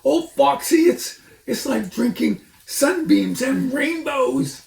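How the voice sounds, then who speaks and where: A man voicing a blustery, excitable grown male badger from a storybook, given to exclaiming. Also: very high-pitched, slow pace, vocal fry, recorded from a distance, voice pushed up, heavily acted. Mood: rapturous. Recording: roomy echo, faint noise.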